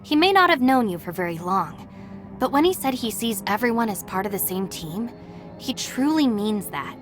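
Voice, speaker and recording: A woman's voice: on the high side, animated, moderate pace, music in the background, natural voice. A woman as a long-suffering young gang deputy. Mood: resigned. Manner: matter-of-fact, long-suffering. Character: weary, sensible young female gang deputy. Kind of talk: game voice acting.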